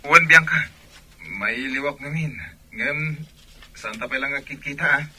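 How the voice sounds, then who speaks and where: An earnest young student in love in a Filipino radio drama. His voice is forceful and bright, at an average male pitch, with a worried manner. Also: normal speed. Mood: apologetic.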